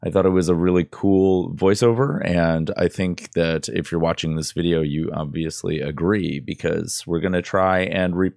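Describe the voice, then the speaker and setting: A man with a very deep voice talks through a tutorial in an informal way. He rambles and halts as he explains things. The sound is close and dry, from a studio mic at a desk. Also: steady tone, moderate pace, creaky, few pauses, darkish tone, no echo. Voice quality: relatively low voice. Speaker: tutorial presenter. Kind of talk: casual screen-recorded tutorial. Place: indoor desk setup. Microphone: close boom-arm studio mic, headphones.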